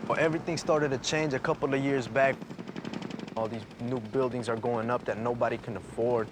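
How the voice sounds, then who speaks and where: A man's voice: mid-range voice, fairly even intonation, fairly quick, noisy background. A young man from El Salvador, talking casually about his neighborhood in a documentary interview and using plenty of fillers. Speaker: neighborhood resident.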